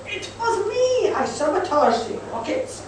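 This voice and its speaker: Woman as high, swooping fanatical saboteur, echoing hall. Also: sing-song, a little slow, darkish tone, exaggerated voice. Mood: triumphant. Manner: grandiose, declaiming. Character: fanatical German saboteur, proud and declamatory. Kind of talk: live comic radio play. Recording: distant, very echoey hall, faint noise.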